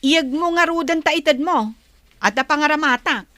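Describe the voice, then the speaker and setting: A woman's voice: sits high, normal speed, bright, forceful tone, no echo. A woman with an Ilocano accent playing a defiant, selfish young wife who whines and argues in a radio drama. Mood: demanding, annoyed. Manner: whiny, argumentative.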